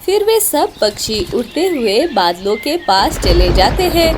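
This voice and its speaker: A woman's voice: fairly high pitch, very animated, unhurried. A woman narrating a scripted children's story. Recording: clear background noise with animal, bird sounds.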